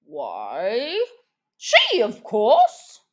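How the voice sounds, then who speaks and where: A woman performs a grave storybook dodo, full of self-importance. The words come slowly and emphatically, swinging up and down as if each one were an official proclamation. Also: on the high side, huge pitch swings, exaggerated voice. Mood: loud, declaring. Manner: solemn, declamatory, drawn-out emphatic delivery. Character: grave, pompous dodo presiding over a race.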